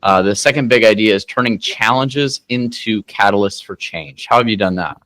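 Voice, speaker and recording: Male interviewer, lowish voice, conversational and agreeable, dry recording. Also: moderate pace, fairly bright tone, no echo. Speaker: podcast host.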